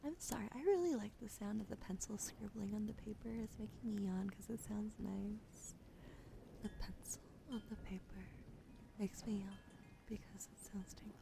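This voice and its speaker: A woman whispering softly and sleepily on an ASMR livestream. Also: mid-range voice, creaky, background noise. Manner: soft, slow, sleepy whispering. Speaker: ASMR streamer.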